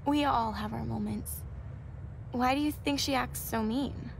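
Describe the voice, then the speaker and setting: Devout young woman student, gentle, high-ish voice, earnest, video game dialogue, noticeable background ambience. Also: unhurried, creaky, a little hiss or hum. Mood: earnest, reflective. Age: young adult. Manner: soft-hearted, earnest, sincere. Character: gentle, devout young female student, fragile but hopeful.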